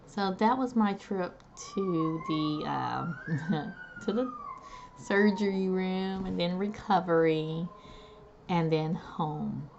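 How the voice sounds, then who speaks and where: A woman's voice: on the low side, huge pitch swings, slow, gravelly, darkish tone, a little hiss or hum. Chatty woman vlogging from home, lo-fi. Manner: chatty, homey, addresses viewers as guys. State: recovering voice after neck surgery. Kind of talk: casual talk to camera.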